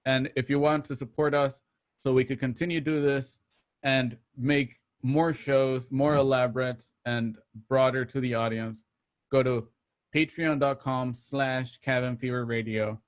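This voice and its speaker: A man hosting an online show, giving casual, slightly halting announcements and plugs to listeners. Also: mid-range voice, steady tone. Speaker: host of an online radio drama show.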